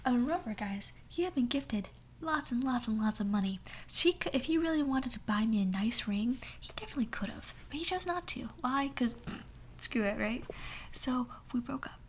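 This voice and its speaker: A young woman with a hoarse voice telling a sassy, dramatic story in a soft whisper. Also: fairly high pitch, expressive, moderate pace, a little hiss or hum. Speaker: ASMR storyteller. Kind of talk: whispered ASMR story time.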